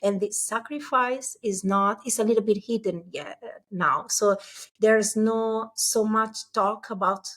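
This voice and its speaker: A woman with an Italian accent, a startup CEO talking as a guest in an interview, pausing often and searching for English words. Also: mid-range voice, steady tone, fairly bright tone. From Italy. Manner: halting, many filler sounds, searching for English words. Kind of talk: conversational interview.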